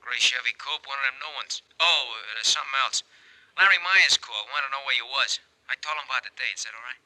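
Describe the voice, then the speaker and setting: An actor playing a slangy, wisecracking detective's sidekick in a 1940s radio mystery. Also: male voice, mid-range voice, fast talker, bright, forceful tone, poor audio. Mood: brisk, informing. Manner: brash, colloquial.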